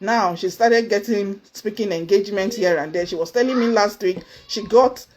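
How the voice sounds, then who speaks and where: A woman with a Nigerian accent giving a motivational talk to camera, earnest and emphatic, with sudden high exclamations. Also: moderate pace. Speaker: motivational speaker. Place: indoors, seated before camera.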